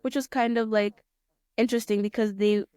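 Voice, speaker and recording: A young woman from Kenya talks through her answers with many small restarts and fillers. Her voice is smooth and clear, held in a fairly narrow pitch band. The sound is dry and close. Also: fairly even intonation, brisk, a little mellow, no echo. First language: Swahili. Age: young adult. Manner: thoughtful, rephrases often, many fillers. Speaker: guest, pilot student. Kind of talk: casual interview answers.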